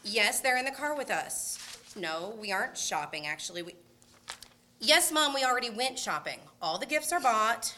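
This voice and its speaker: A woman's voice: on the high side, expressive, moderate pace, bright, forceful tone, a little hiss or hum. A woman playing a flustered, forgetful mother, exasperated yet placating, in an amateur Christmas radio skit. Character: flustered, forgetful mother on a family road trip. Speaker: radio play actor.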